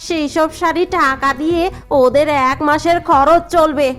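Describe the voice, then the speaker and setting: A woman performs a snobbish elder sister-in-law in a cartoon family tale. Her voice is very high, gossipy and mocking, with faint music underneath. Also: fairly even intonation, moderate pace, a bit bright. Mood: spiteful. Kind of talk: cartoon voice acting.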